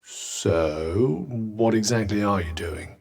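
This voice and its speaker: A man's voice: on the low side, lively intonation, takes it slowly, darkish tone, full character voice. A man with a British accent voicing a haughty, sardonic magical cuff in a video game, dry and pompous in manner. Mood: dry, wry. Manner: dry, pompous, sarcastic, theatrically exasperated. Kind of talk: scripted game character lines.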